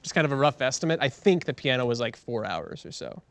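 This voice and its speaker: A man's voice: medium pitch, very animated, fast talker. A man chatting casually as a podcast co-host. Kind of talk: casual chat.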